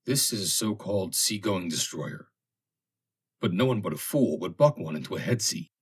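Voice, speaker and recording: A shrewd sailor with a hoarse, husky voice played by a male audiobook reader. He speaks with scorn and disapproval, his pitch moving in lively swings. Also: normal speed. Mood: disapproving. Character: recovering sailor, scornful and shrewd, just out of bed. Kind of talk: audiobook character acting.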